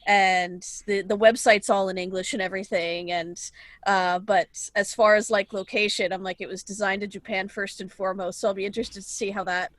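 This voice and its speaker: A woman's voice: mid-range voice, little pitch movement, fairly quick, dry recording. A woman co-hosting a podcast, telling anecdotes in a chatty way and laughing easily. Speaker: podcast co-host. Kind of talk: casual podcast chat.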